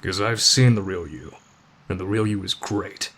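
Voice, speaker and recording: A man voicing a gruff, stoic teenage tough guy with a soft spot, terse and reassuring, in an anime roleplay. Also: deep voice, moderate pace, a little hiss or hum. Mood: gruff reassurance. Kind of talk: anime fan audio roleplay.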